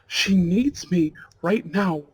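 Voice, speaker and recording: Man playing a husky, slow-spoken devoted mafia husband. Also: high-pitched, animated, heavily acted. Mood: agitated, impatient. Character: devoted, tender mafia heir, newlywed husband and anxious father-to-be. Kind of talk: romantic ASMR audio roleplay.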